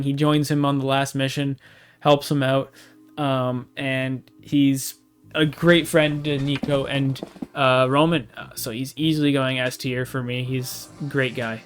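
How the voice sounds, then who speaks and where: Young male host, casual and halting, smooth tone, light music bed. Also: a little high. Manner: rambling, hesitant, many restarts. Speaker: gaming video host. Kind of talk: casual unscripted commentary.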